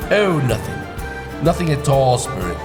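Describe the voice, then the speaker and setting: An actor playing an anxious, remorseful old miser in a radio drama, stammering and exclaiming theatrically. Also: male voice, medium pitch, big pitch swings, unhurried. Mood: wistful, remorseful. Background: music.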